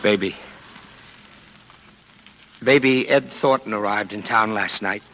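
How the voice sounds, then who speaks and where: An actor playing a guilt-ridden, infatuated killer in 1940s radio melodrama, pleading urgently and repeating endearments. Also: male voice, on the low side, slow, sharp tone. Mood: urgent, lovesick. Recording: dull, noisy 1940s radio recording.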